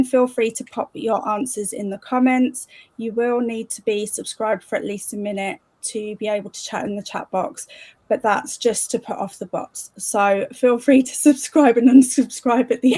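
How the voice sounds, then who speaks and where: A woman's voice: medium pitch, a little mellow, dry recording. A woman hosting a casual livestream party on a video call, chatting loosely with plenty of fillers and little giggles. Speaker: host.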